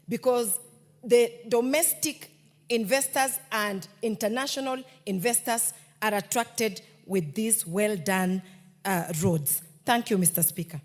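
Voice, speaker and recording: A woman with a Kenyan accent, a member of parliament giving a measured, deliberate speech to the Speaker. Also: fairly high pitch. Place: parliament chamber. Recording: reverberant parliament chamber.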